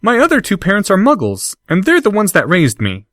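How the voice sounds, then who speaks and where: A young schoolboy pours out flowery, exaggerated flattery in a voice pitched far up, as a man puts him on. The voice swings widely and sounds close and dry, with no room around it. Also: a little fast, dry recording, full character voice. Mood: earnest. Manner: over-the-top flattery in a high voice. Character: young boy, mock-groveling, theatrically flowery.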